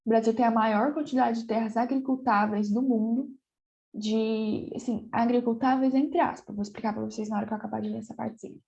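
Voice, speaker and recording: A woman gives a geography lesson in a somewhat high, mellow voice that is slightly hoarse from a cold. She hesitates often, repeating small words and checking in with her students. The sound is muffled and lo-fi. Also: steady tone, brisk. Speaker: geography teacher. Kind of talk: online class lecture.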